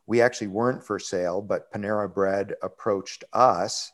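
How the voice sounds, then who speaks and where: A man in his forties, a restaurant executive, telling his career story in a relaxed, rambling way with lots of fillers. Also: lowish voice, normal speed. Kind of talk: conversational interview storytelling.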